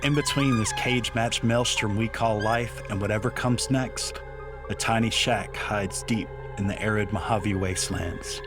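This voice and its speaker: Guy doing spooky theatrical horror trailer voiceover, kinda low, portentous, music blasting behind. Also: normal speed, close to an everyday voice. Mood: ominous, portentous. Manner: florid, portentous. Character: ominous, theatrical trailer narrator of cosmic horror. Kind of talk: horror podcast trailer voice-over. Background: loud music.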